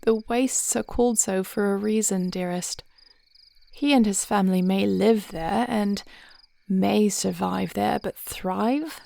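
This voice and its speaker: A woman playing a devoted warrior princess in an intimate fantasy audio roleplay, speaking in measured, formal phrases with a reassuring, thoughtful manner. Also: medium pitch, gravelly, warm tone. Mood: measured, resolute. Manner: measured, articulate, formal old-fashioned phrasing.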